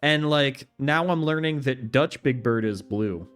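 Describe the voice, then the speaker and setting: A man's voice: medium pitch, unhurried, fairly bright tone, smooth, soft background music. A young man streaming a video game, chatting casually with his viewers, reading their messages aloud and laughing easily. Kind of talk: casual gameplay commentary.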